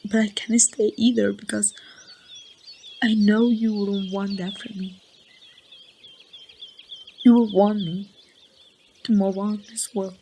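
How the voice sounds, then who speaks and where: A woman playing a grieving young woman saying goodbye to a lost loved one in an ASMR roleplay, speaking softly and haltingly, at times near a whisper. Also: mid-range voice, level tone. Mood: heartbroken, reluctant. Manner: soft, halting, breathy delivery slipping toward whispers. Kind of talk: amateur ASMR roleplay monologue. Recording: outdoor ambience with birdsong, faint noise.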